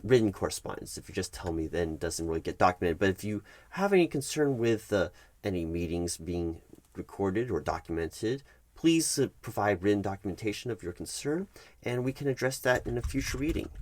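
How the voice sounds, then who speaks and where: A man acts out an HR manager in a one-sided ASMR office roleplay. The voice is a fairly low male one with a very dry, echo-free sound. He is polite but officious and evasive, stumbling hesitantly through formal company language. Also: natural voice. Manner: hesitant, bureaucratic, stammering over formal wording.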